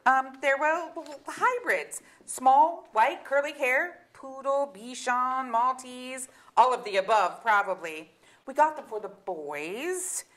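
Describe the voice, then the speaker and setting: A woman's voice: sits high, slow pace, a bit bright. An actress playing a blunt, exasperated middle-aged wife and mother in a staged reading of a comic drama, speaking in emphatic, sardonic phrases. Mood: dry, wry. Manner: sardonic, emphatic, long articulate tirades. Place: theatre auditorium.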